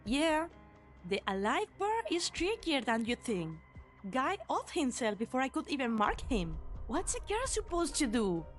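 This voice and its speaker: A woman's voice: sits high, sing-song, a little slow, faint music behind. A woman playing a brash, cocky, menacing young female mercenary in an amateur game fan dub, with a sardonic, teasing manner. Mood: indignant, irritated. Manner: sardonic, foul-mouthed, teasing.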